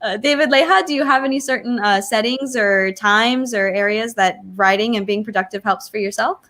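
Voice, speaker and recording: A woman's voice: on the high side, a little fast, a bit bright. A woman hosting a casual livestream author panel on a video call, speaking in an effusive, gushing way. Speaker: host of an online author panel.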